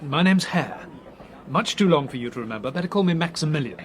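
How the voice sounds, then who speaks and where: A man's voice: a little high, lively intonation, fast talker, slight background noise, lightly acted. An actor playing a breezy, flippant young Englishman in a radio drama. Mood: breezy, teasing. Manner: jaunty, flippant. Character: breezy, joking young Englishman, irreverent traveller.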